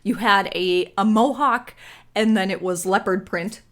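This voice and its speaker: A woman's voice: mid-range voice, a little slow, fairly bright tone. A woman interviewing a musician in a chatty, casual way, often murmuring agreement. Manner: chatty, with frequent affirming murmurs.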